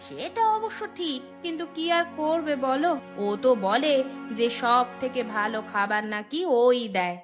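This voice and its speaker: A woman's voice: high-pitched, expressive, normal speed, faint music behind. A woman voicing a concerned, conciliatory village wife in a moral cartoon, with a coaxing, questioning manner. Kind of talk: Bengali moral cartoon voice acting.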